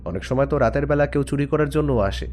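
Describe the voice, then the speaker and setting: A man's voice: mid-range voice, fast, darkish tone, soft background music. A man narrating a scripted audio story expressively, acting out the dialogue as he goes.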